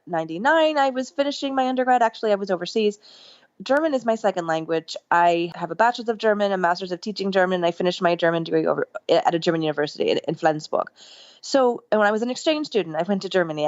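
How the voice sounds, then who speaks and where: A middle-aged woman, a podcast guest, telling a story in an enthusiastic, rambling way, with lively jumps in pitch. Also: dry recording. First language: English. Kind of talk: casual storytelling interview. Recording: dull lo-fi remote line.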